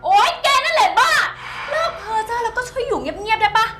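A woman's voice: very high voice, expressive, fast. A female performer playing a scared young woman swindler, whiny and defensive, in a skit. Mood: panicky. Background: music.

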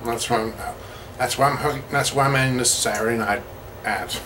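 A middle-aged man talking in a casual, rambling video diary, halting and pausing mid-sentence. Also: mid-range voice, recorded from a distance, background noise. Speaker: road-trip vlogger. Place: parked vehicle cabin, later a small motel room. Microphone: camera at about arm's length.

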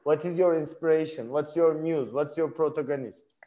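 Bright-voiced male seminar instructor. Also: fairly high pitch, fairly even intonation, normal speed. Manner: encouraging, inquisitive. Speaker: instructor leading an online seminar. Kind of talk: casual class discussion. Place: indoor room, on a video call.

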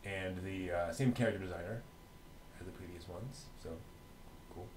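A middle-aged man co-hosting a news show in a casual, chatty way with a halting delivery. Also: sits low, noisy background. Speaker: co-host of an anime news show.

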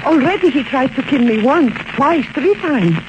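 An actress playing a seductive, melodramatic femme fatale with a Mexican Spanish accent and coquettish, formal phrasing in a 1940s radio drama. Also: female voice, high voice, animated, moderate pace. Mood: dramatic, pleading. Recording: dull, noisy vintage radio recording.

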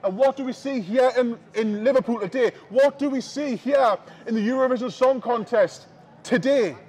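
A man with a strikingly high voice and a Northern English accent preaches outdoors on a busy shopping street. He speaks through a small headset mic and calls out to the passing crowd in an open-air sermon. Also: normal speed, slightly rough. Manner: preaching loudly to passers-by, calling out to the crowd.

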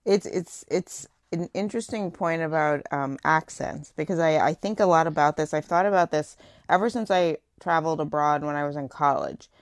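Woman host, lowish voice, dry close studio sound, conversational and reflective. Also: moderate pace, few pauses, no room sound. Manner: thoughtful, conversational questioning. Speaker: radio talk show host. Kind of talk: radio interview.

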